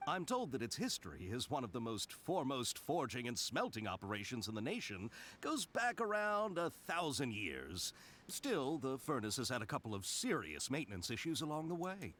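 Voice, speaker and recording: An actor voicing an affable, theatrical engineer in a video game, chatty and jaunty with a touch of pomp. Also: male voice, mid-range voice, very animated, normal speed, a bit bright, a little hiss or hum. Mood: storytelling. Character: affable, theatrical foreign engineer eager to share knowledge.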